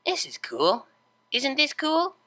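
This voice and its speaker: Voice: high-pitched, wildly swooping pitch, slow, bright, forceful tone, pitched above the performer's own voice, exaggerated voice. A performer voicing a laid-back young female unicorn DJ from a cartoon fan story, giddy and carefree with a loose, woozy delivery.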